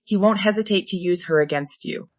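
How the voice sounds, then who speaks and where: A woman's voice: lowish voice, brisk, no echo, lightly acted. A woman playing a worried but determined tech-savvy fugitive in a screenplay table read.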